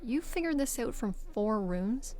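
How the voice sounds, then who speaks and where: A woman's voice: on the high side, animated, measured pace, a little mellow, some chatter behind. A woman playing a precise, formal, dry-witted traveler in a comedic audio drama. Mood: curious, dry.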